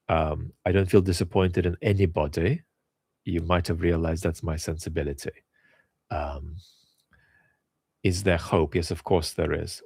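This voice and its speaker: Host from Russia, deep gravelly tone, thinks aloud with fillers and restarts. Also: male voice, a little mellow, no echo, clean studio sound. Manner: thoughtful, hesitant with many fillers and restarts. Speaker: host answering viewer questions. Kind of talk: casual Q&A monologue.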